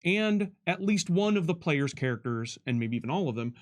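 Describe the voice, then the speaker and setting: A man's voice: a little high, animated, normal speed. A man giving conversational developer commentary, explaining things step by step and often checking with "right?".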